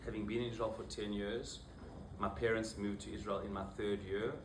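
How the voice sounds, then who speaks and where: A man in his fifties with a South African accent, a rabbi giving an informal talk to a small group, low on energy and halting, with many fillers and restarts. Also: flat delivery. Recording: roomy, distant mic, background noise.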